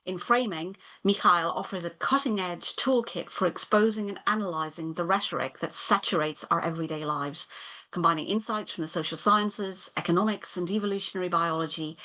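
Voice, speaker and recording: A woman hosting an event, reading a measured, scripted introduction of the evening's speaker. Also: fairly low pitch, pushed and bright, low-quality recording. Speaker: event host.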